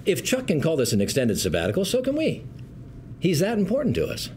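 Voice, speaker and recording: A man playing a smooth, condescending law firm partner at a script read, polished and measured. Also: a little high, wildly swooping pitch, darkish tone, a little hiss or hum. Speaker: actor at a script table read. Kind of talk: acted script read at a table.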